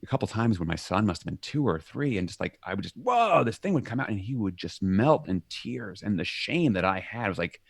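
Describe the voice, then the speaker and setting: A middle-aged man with a very low voice gives an animated guest interview. He jumps into loud acted-out quotes, then sinks quiet and soft. The sound is dry and close. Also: a little fast, gravelly, hardly any pauses, breathy edge, no room sound. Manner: animated, acts out quoted shouts. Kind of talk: conversational interview.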